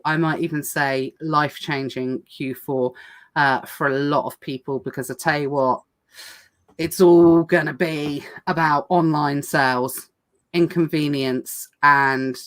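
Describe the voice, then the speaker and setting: A woman hosting a casual livestream chat, talking fast with lots of fillers and restarts, her voice going hoarse. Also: deep voice. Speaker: podcast host.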